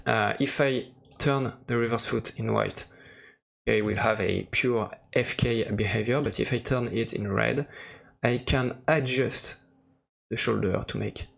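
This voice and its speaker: A male presenter narrates a software tutorial in non-native English. His voice sits fairly low and is very creaky and gravelly. He talks informally, hesitating and pausing often as he demonstrates. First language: non-native English speaker. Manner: halting, with frequent pauses and hesitations.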